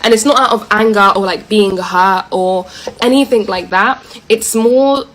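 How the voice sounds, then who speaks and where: A woman from Egypt speaks casually to her online followers. She is chatty and prone to giggling, with a voice of middle pitch. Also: measured pace, fairly bright tone, poor audio. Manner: chatty, giggles while talking. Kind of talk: casual talk to camera.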